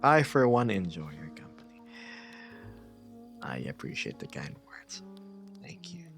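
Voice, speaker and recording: Soft-spoken man streamer. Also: lowish voice, huge pitch swings, vocal fry, music in the background. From Philippines. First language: Tagalog. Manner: soft-spoken, drops into whispers, measured and dry. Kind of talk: casual chat with viewers.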